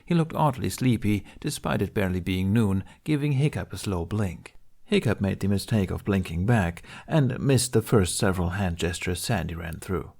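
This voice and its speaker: Low-voiced man narrating a story. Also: expressive, moderate pace, creaky, darkish tone. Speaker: podfic narrator. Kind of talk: scripted narration of a fantasy story.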